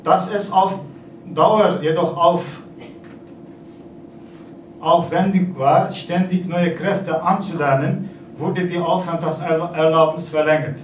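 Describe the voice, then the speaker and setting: A man in his forties from Turkey with a Turkish accent, giving a formal speech with halting hesitations and fillers. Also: on the high side, fairly even intonation. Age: 40s. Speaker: consulate representative giving a speech. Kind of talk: formal speech at an exhibition opening. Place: event hall. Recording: echoey hall, distant, noisy.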